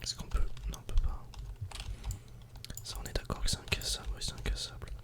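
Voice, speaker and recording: Whispering man, ASMR gaming. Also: a little fast. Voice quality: breathy unvoiced whisper. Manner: calm, casual, murmured play-by-play. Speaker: ASMR gaming video creator. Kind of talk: whispered ASMR game commentary.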